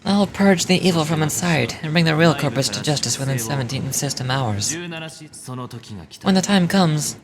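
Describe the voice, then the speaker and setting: A woman's voice: low voice, pitched below the performer's own voice. Woman putting on a composed, preachy male judge. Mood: cool, measured. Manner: formal, measured, preachy. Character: composed, stern, sanctimonious male judge of a religious order. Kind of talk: reading game dialogue aloud in character.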